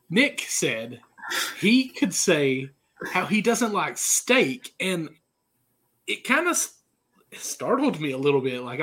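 Hoarse, bright-voiced man hosting. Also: fairly high pitch. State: hoarse from a sinus infection. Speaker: podcast host. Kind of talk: casual sports chat. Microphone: video call.

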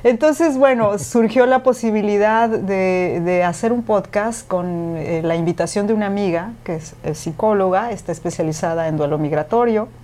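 A woman's voice: medium pitch, normal speed, few pauses. A woman, a podcast host and former radio announcer, talking candidly as an interview guest. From Mexico. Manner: candid, animated, many fillers. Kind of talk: conversational interview.

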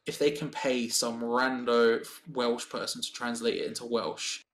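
A man's voice: medium pitch, flat delivery, unhurried, a bit bright. A man chatting casually on a podcast, rambling with lots of fillers and restarts.

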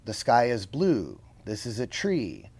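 A man's voice: mid-range voice, wildly swooping pitch, slow, gravelly, darkish tone, slightly rough. A man as a podcast guest, explaining his views earnestly and often checking in with "right?" and rhetorical questions. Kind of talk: casual conversational interview.

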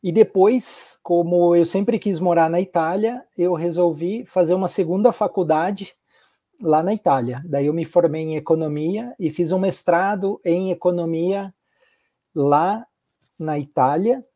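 A man's voice: high voice, unhurried, dark tone. A man with a southern Brazilian accent from Curitiba, speaking reflectively as an interview guest and repeating words for emphasis. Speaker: podcast guest, healthcare executive.

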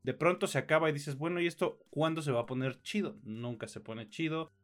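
A man's voice: a little high, brisk. Grumbling Mexican man over faint music. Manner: informal, slangy, grumbling. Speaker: film podcast co-host. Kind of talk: casual film review chat.

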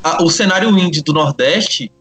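A man with a distinctly high voice chats on a podcast panel. He is talkative and opinionated in a casual way, over faint background music. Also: normal speed, fairly bright tone.

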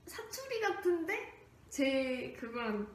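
A woman's voice: sits high, sing-song, takes it slowly. A young woman co-hosting a casual radio-style show, playful and giggly, leading the segment and reading a listener's letter. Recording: echoey room with faint background noise.

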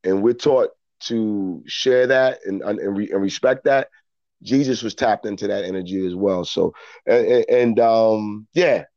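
A man speaking as a podcast guest in casual, reflective conversation, earnest and halting, often repeating his words. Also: fairly low pitch, darkish tone, dry recording, dull audio.

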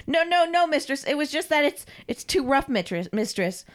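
A woman's voice: high-pitched, very animated, moderate pace, voice pushed up. A woman voicing a servile middle-aged man who stammers and pleads in overblown formal phrases, in an acted story. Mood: flustered, apologetic.

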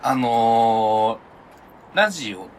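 Animated, campy man chatting on a podcast. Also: mid-range voice, extremely slow, slight background noise. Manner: campy, self-described "onee" talk. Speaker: podcast co-host. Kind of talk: casual chat among friends.

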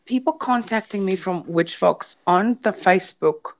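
A woman's voice: mid-range voice, animated, a little slow. A woman from South Africa with an Afrikaans accent, a native Afrikaans speaker, talking enthusiastically as a podcast guest and sometimes searching for words. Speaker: podcast guest, witchcraft teacher. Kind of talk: casual podcast conversation.

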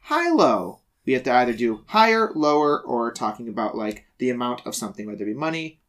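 Male podcast host, mid-pitch, chatty, explains rules in bursts, corrects himself a lot. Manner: excited, rambling, quick self-corrections. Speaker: podcast host running a game show. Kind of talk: casual chat.

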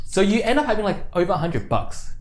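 A man in his thirties from Australia, co-hosting a podcast in relaxed, teasing casual chat. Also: high-pitched, fast talker. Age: 30s. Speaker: podcast co-host.

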